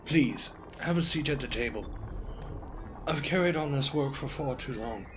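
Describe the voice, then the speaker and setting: An actor playing an ancient, grave wizard leader in an audio drama, weary and proud, speaking in formal, ceremonious phrases. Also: male voice, mid-range voice, lively intonation, moderate pace, noisy background, lightly acted. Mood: solemn pride. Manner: formal, ceremonious, stately speech. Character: ancient Merlin, grave male founder and commander, weary, proud.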